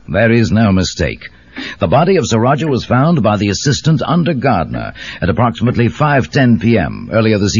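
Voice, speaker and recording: A man playing a solemn Scotland Yard inspector with a British accent, giving a formal, measured, precise briefing in a murder mystery game. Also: low-pitched, husky, full character voice. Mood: grave, formal. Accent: British English. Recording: dull, muffled cassette tape transfer.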